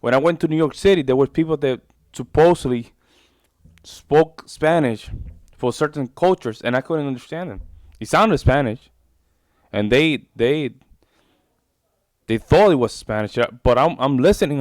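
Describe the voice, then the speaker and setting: A man's voice: mid-range voice, moderate pace, no echo, studio quality. A man hosting a reaction video, rambling casually and repeating himself as he reacts. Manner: chatty, rambling, repeats himself, informal "bro".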